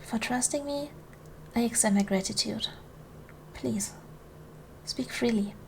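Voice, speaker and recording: A woman playing a gracious, commanding duchess in an ASMR roleplay, speaking in a formal, measured, soothing way to a servant she protects. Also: on the high side, creaky, slight background noise. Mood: gentle, reassuring. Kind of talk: ASMR roleplay, soft formal period speech.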